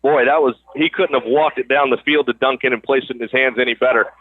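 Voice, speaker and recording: A man doing live radio play-by-play of high school sports in a conversational announcer's style, on a broadcast mic. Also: steady tone, fast talker, dry recording, narrow, tinny audio. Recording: band-limited radio broadcast sound, gym or field noise.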